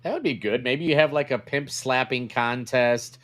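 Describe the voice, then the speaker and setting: A man's voice: mid-range voice, moderate pace, fairly bright tone. A man co-hosting a casual comedy podcast, bantering in a blunt, crude, wisecracking way. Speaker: podcast co-host.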